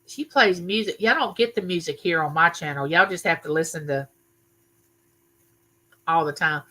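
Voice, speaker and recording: Older woman, livestream host; loud, bright, sharp-edged voice, chatty and welcoming by name. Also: on the low side, moderate pace. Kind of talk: casual live chat with viewers. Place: home room used for filming.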